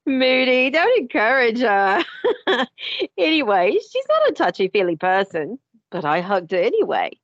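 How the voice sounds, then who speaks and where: An actress playing a nosy, impulsive middle-aged daughter who defends herself with a laugh, in an audio drama. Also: female voice, a little high, sing-song, measured pace, no echo. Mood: defensive. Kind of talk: amateur audio drama acting. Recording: dull lo-fi dry recording.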